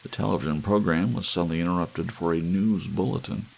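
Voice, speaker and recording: A man with a deep, heavily creaky voice reads a story in ASMR fashion. His intonation rises and falls expressively. Soft rain and a faint hiss run behind him. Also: a little fast. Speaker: audiobook narrator. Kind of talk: relaxed ASMR story narration.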